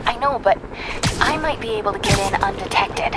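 A woman's voice: mid-range voice, fairly quick, loud music behind the voice, close to an everyday voice, low-quality recording. An actress playing a capable young female investigator in a video game, direct and matter-of-fact. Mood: determined.

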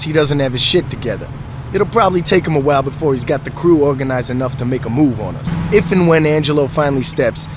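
A streetwise gang lieutenant voiced by a man from a crime video game, speaking in a mid-range voice. He is blunt, confident and careful, handing out instructions in slang over clear background car noise. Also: medium pitch, natural voice. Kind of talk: video game cutscene acting.

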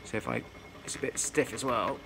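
A man's voice: medium pitch, steady tone, a little fast. A man casually talking through a demonstration in a hesitant, rambling, self-correcting way. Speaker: video maker. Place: indoors at a cluttered desk. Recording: noisy room, clear background noise. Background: motor hum and neighbours' racket.

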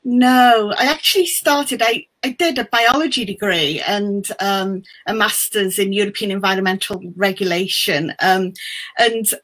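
A woman's voice: mid-range voice, a little slow, fairly bright tone. A middle-aged woman from Wales with a Welsh accent, a native Welsh speaker, chatting casually as an interview guest over a video call. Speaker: guest, book mentor.

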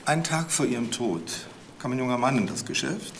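Actor as matter-of-fact investigator, mid-pitched. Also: male voice, expressive, a little fast, slight background noise, close to an everyday voice. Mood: factual. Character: matter-of-fact male investigator laying out evidence. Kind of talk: German crime audio drama acting.

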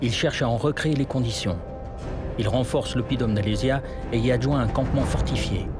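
A man giving scripted dramatic historical narration in a measured, grave storytelling manner. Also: mid-range voice. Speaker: documentary narrator. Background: loud music.

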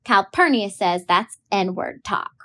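A woman plays a scrappy little tomboy who argues back at her brother. The voice sits a little above the reader's own, defiant and quick, a storybook child. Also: mid-range voice, animated, bright, forceful tone, a little hiss or hum. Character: feisty young tomboy girl, the narrator's younger self.

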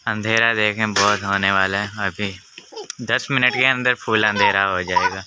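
A man's voice: on the low side, fairly even intonation, a little slow, noisy background. A young man from Pakistan filming a family cooking scene outdoors, narrating casually and asking curious questions. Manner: chatty, curious, asks many questions. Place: outdoor village courtyard.

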